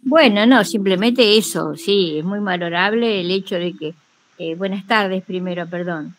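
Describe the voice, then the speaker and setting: A woman with an Argentine accent giving a casual personal account in a group call, modest and halting, with many filler words. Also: medium pitch. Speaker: workshop participant, teacher.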